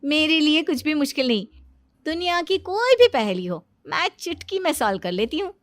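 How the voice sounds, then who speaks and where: High-voiced, boastful young daughter-in-law, played by a woman. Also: very animated, moderate pace, no room sound, studio quality. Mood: smug, confident. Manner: boastful, self-assured. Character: confident know-it-all young pregnant daughter-in-law. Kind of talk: Hindi cartoon story dubbing.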